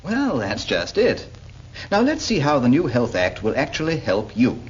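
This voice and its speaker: A man's voice: medium pitch, wildly swooping pitch, normal speed, a little hiss or hum. A British man narrating a 1940s public information cartoon in a patient, explanatory manner. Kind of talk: 1940s scripted film narration, addressing a character. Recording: dull 1940s film soundtrack with music.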